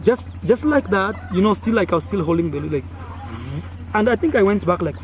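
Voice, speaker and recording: Lively Kenyan man telling a story. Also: sits high, fairly quick. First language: Swahili. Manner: animated, acts out sounds and gestures with frequent fillers. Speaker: content creator. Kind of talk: casual storytelling to camera. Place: outdoors in a village. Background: faint village noise.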